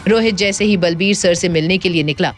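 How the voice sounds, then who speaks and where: A woman's voice: fairly low pitch, brisk. Woman narrating a dramatic story. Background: background music.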